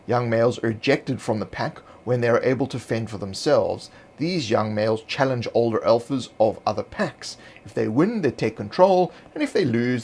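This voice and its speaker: A man reading scripted descriptive narration for a fantasy roleplaying guide in a measured, explanatory way. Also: mid-range voice.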